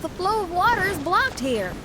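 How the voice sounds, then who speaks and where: A woman voicing a calm, helpful young female traveler in a video game, gentle and earnest. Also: very high-pitched, normal speed, heavy background noise.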